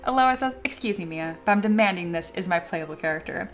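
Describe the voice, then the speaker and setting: A woman's voice: on the low side, lively intonation, fast talker, a bit bright, faint music behind. A young adult woman chatting casually on a live stream while she sculpts at home, reading viewer messages aloud and laughing often. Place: home studio.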